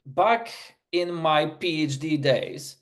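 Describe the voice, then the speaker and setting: A man's voice: a little high, animated, slow. A middle-aged man, English his third language, speaking with a non-native accent and giving an earnest, story-driven webinar presentation with frequent restarts. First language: not English; English is his third language.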